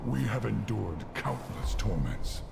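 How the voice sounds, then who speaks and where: A man playing a weary, solemn orc warrior chieftain in a fantasy game, speaking in a measured way. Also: lowish voice, sing-song, vocal fry, noisy background, heavily acted. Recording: game music behind.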